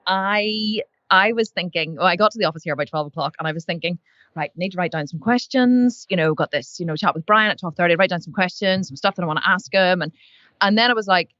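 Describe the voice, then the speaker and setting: A woman's voice: fairly low pitch, fast talker, fairly bright tone, no echo, muffled recording. A woman with an Irish accent hosting her podcast, chatting casually, often correcting herself and laughing at her own slips. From Ireland. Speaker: podcast host. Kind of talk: casual podcast intro and chat. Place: office.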